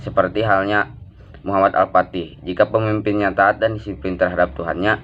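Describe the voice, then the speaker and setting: Low, even-toned man, muffled recording. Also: fairly quick. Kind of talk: formal educational presentation. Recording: dull lo-fi recording, faint noise.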